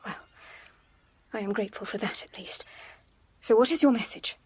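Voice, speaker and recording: Woman as an anxious, husky-voiced genteel young lady. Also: a little high, moderate pace, whispered. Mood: anxious urgency. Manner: formal, urgent. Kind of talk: period audio drama acting.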